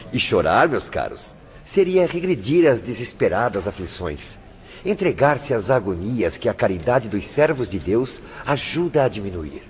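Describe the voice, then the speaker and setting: Man acting low-voiced, animated pious attendant. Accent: Brazilian. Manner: formal, declamatory, preaching. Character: pious, courteous male attendant of a spirit hospital. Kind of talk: radio drama acting. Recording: dull lo-fi radio recording, faint noise.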